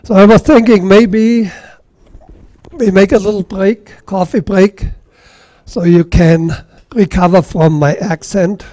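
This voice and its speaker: Brief: middle-aged Austrian man, mellow tone, slightly high voice, halting club demonstrator, dry close sound. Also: fairly even intonation, no room sound. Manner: halting, self-deprecating, many restarts. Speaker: woodturning demonstrator. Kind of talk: casual workshop demonstration. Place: club meeting room.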